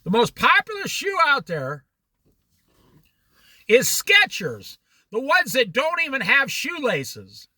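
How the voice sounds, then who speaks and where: Guy doing a laid-back bible study, slow talker, keeps daring ya with questions, super bright voice. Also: extremely high pitch, dry recording. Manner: animated, challenging rhetorical questions; jokey asides. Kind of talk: informal Bible study talk.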